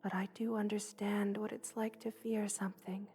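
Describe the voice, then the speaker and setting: A woman voicing a gentle, loving, motherly spider queen in a soft, hushed ASMR roleplay, tender and wistful, often slipping toward a whisper. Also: medium pitch, little pitch movement, moderate pace, faint music behind, natural voice. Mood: earnest, reassuring.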